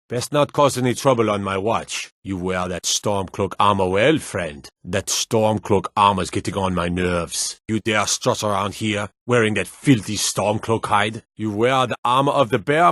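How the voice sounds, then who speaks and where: Man playing a gruff, low-voiced fantasy town guard. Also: fairly bright tone, dry recording, clean studio sound, natural voice. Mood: wry, watchful, then gruff, appraising. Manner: blunt, suspicious, folksy, archaic turns of phrase. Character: gruff, wary male city guard in a fantasy realm. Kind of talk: video game character barks.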